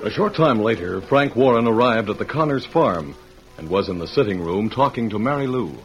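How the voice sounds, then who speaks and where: A man's voice: on the low side, expressive, brisk, faint music behind, poor audio. A man narrating an old-time radio western in a scripted storytelling style.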